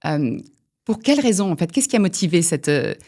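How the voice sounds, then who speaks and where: A woman hosts a podcast interview, introducing her guests and putting questions to them in a courteous, formal manner. Her voice sits a little low. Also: big pitch swings, brisk, a little mellow. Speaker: podcast host.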